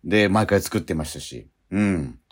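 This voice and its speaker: A man's voice: sits low, animated, moderate pace, slightly rough. A man hosting a radio show, talking casually yet politely and earnestly as he wraps up.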